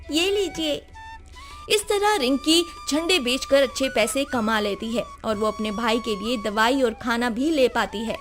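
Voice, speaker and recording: A woman narrating a scripted animated moral story. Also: a little high. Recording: music behind the voice.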